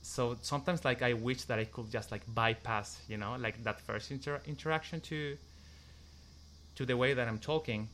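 A man's voice: mid-range voice, normal speed, a bit bright, slight background noise. A man from Chile with a Chilean Spanish accent answering interview questions in English, hesitant and full of fillers, pronouncing each sound with care. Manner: hesitant, many "like" fillers, careful pronunciation. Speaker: interviewee, musician. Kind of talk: casual interview answers and a read passage.

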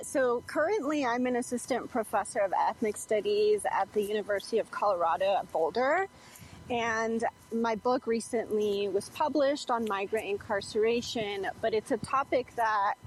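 A woman historian and professor answering interview questions in a measured, earnest way. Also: fairly high pitch, a little hiss or hum, low-quality recording. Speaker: guest historian, assistant professor.

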